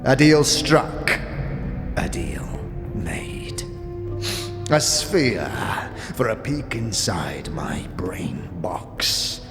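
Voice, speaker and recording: An actor playing a withered, sly and contemptuous old underworld boss in a fantasy audio drama, creaky and often dropping into breathy, taunting whispers. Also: male voice, low voice, lively intonation, exaggerated voice. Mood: scheming, urgent. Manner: theatrical, taunting, drawn-out phrases with street slang. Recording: long hall reverb, music behind.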